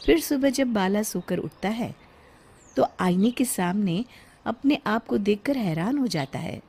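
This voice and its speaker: A woman narrating a children's cartoon story in a clear, even storytelling manner. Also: mid-range voice, a little slow.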